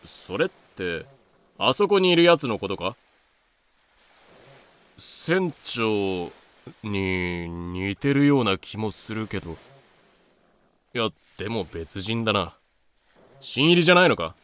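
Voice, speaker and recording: A man's voice: lowish voice, big pitch swings, slow pace, long pauses, a bit bright, clean tone, heavily acted. A man in a fan audio drama playing a cool pirate-era adventurer with casual, dry speech. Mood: puzzled. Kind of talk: Japanese fan-made audio drama acting.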